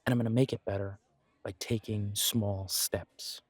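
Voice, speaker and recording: A man's voice: fairly low pitch, steady tone, close to an everyday voice. A man playing a supportive, self-deprecating best friend in a conversational roleplay audio, talking in a reassuring, reflective way. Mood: empathetic. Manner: reassuring, chatty, reflective pauses. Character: warm, encouraging male best friend, self-deprecating, gently earnest.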